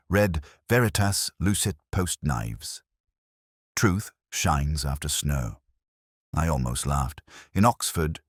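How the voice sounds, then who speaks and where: A man reading scripted first-person narration for a detective mystery in a measured, literary, reflective manner. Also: very deep voice, no echo, clean studio sound.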